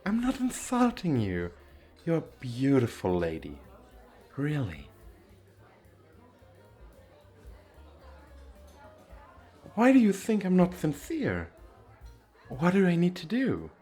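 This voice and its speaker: A man's voice: fairly high pitch, wildly swooping pitch, unhurried, mellow, husky, faint music behind, some chatter behind, voice pushed up, exaggerated voice. Man playing a theatrical, swooping vain male model. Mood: mock-sincere, teasing. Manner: theatrical, teasing, self-absorbed. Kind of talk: ASMR roleplay.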